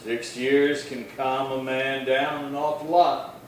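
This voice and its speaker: A man acting as a watchful frontier marshal in a radio western. He speaks at a middling pitch, measured and firm, and sounds far from the mic in a very echoey hall. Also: flat delivery, slight background noise, lightly acted. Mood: calm, firm. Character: steady, watchful male US Marshal of the Old West. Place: little theatre stage, distant mic.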